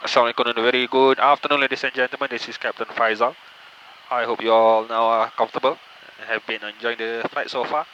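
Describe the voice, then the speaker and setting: A man with a Malaysian accent giving a captain's in-flight announcement in measured, halting phrases. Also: mid-range voice, level tone, bright, forceful tone. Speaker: airline captain. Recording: muffled PA with faint cabin noise.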